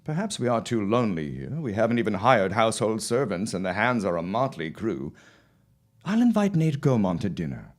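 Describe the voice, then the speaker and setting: A man acting a confident, dismissive farmer husband of the early 1900s in an audio drama, with formal, deliberate phrasing. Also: on the low side, sing-song. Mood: reassuring.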